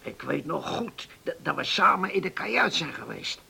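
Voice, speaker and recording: An actor playing a garrulous old island man in his seventies, reminiscing in a halting, folksy way in a radio play. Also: on the high side, very animated, gravelly, bright, forceful tone, slightly rough, heavily acted. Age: 70s. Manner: folksy, halting, repeats himself, verbal tics. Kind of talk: radio drama acting.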